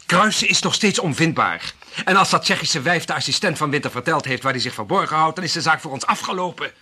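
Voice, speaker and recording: A man's voice: fairly high pitch, animated, fast, sharp tone, husky, heavily acted. A man playing a curt, cold henchman. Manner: curt, clipped. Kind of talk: radio play acting.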